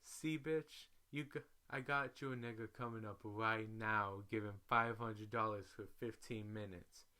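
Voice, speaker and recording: A man voicing a brash, foul-mouthed young woman in a story, loud, emphatic and indignant. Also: fairly low pitch, steady tone, unhurried, few pauses, clean tone. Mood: bossy.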